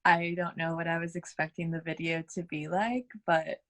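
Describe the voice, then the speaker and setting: A woman's voice: low voice, flat delivery, moderate pace. A woman textile artist and dancer chatting on a video call, enthusiastic and full of fillers. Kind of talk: casual video call chat.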